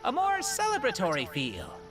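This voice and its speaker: A woman's voice: fairly high pitch, huge pitch swings, moderate pace, over music. A woman playing a breezy, enthusiastic, sociable theater friend in a video game, teasing and effusive. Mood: excited.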